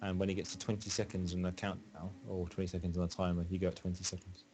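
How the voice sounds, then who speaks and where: A man's voice: low-pitched, flat delivery, quick pace, mellow, husky. A man on a voice call with a streamer, casually explaining race rules and giving driving tips. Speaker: guest on call. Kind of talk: casual chat over a voice call.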